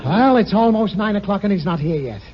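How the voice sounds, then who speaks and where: A man playing a gruff, short-tempered middle-aged boss in a 1950s radio sitcom, bellowing and blustery. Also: high voice, expressive, fairly quick. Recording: dull lo-fi 1950 radio recording with music.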